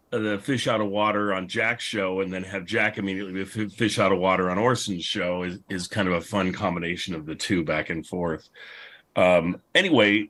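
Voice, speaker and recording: Low-voiced man moderating a casual panel. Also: fairly bright tone. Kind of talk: casual panel discussion of old-time radio.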